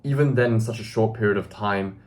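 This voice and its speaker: Deep-voiced guy in his 20s, earnest self-help vlog, lots of "right?" and ums. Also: a little fast. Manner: earnest, emphatic, frequent "right?" tags and fillers. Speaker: self-improvement video host. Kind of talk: direct-to-camera monologue.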